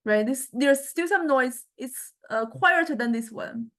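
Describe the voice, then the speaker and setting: Higher-voiced woman lecturing, halting delivery. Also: lively intonation, a bit bright, slight background noise. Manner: hesitant, halting delivery with repeated check-ins. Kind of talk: software tutorial lecture, explaining step by step.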